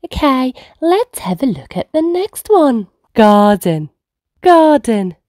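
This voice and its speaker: A woman storyteller speaks to very small children in an encouraging, simple way. Her delivery is extremely slow, with a lively, sing-song rise and fall in pitch. The sound is dry and close. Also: no echo. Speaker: children's read-aloud storyteller. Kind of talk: reading first words aloud to toddlers. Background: faint music.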